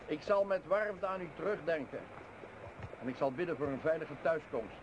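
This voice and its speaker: Guy acting a devout mountain guide, solemn n polite, high voice, noisy radio drama. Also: expressive, moderate pace, highs cut off, natural voice. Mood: solemn, warm farewell. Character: devout, courteous mountain guide taking his leave. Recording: noisy old radio play recording.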